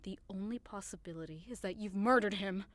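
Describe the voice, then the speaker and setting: A woman's voice: mid-range voice, fairly quick, no room sound, natural voice. A woman playing a passionate, scornful young lover in an audio drama, with emphatic, dramatic delivery. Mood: accusing, distraught. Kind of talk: theatrical audio drama acting.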